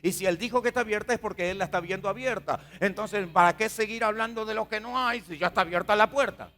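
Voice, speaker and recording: A man's voice: sits high, fast, bright, forceful tone. A man with a Rioplatense Spanish accent preaching a sermon as a pastor, conversational and repetitive, putting questions to his listeners. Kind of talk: sermon to a congregation.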